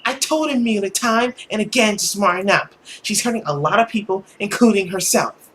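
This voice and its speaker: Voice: medium pitch, moderate pace, fairly bright tone, a little hiss or hum, voice pushed up, lightly acted. A performer voicing a hot-tempered, brash sixteen-year-old girl in an emphatic, indignant rant. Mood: earnest, exasperated.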